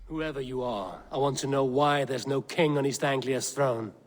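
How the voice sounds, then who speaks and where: An actor playing a stern, fearless Viking raider in a video game cutscene, speaking in a solemn, blunt manner. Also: male voice, medium pitch, normal speed, natural voice. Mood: blunt, challenging. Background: music.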